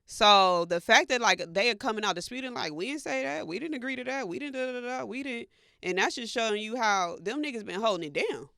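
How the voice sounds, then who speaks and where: Female American talk-show co-host, bright forceful voice, blunt and slangy, casual gossip chat, tight dry sound. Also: fairly high pitch, fairly quick, gravelly, dry recording.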